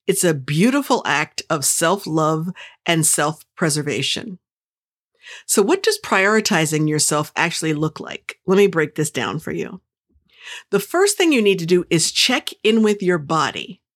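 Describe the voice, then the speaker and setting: Energetic female host with a somewhat low voice, giving an upbeat motivational monologue straight to the listener. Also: a little slow. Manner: upbeat, enthusiastic, pep-talk delivery; direct, playful, speaks straight to the listener. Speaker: podcast host.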